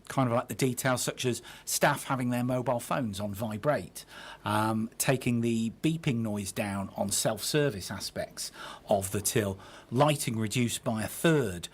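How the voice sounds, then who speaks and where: A man giving conversational radio interview answers in halting phrases, often correcting himself. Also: mid-range voice.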